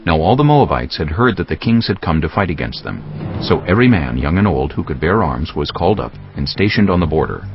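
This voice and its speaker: A man narrating scripture from a script in a solemn, deliberate storytelling manner. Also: low-pitched, very animated, dull audio, over music.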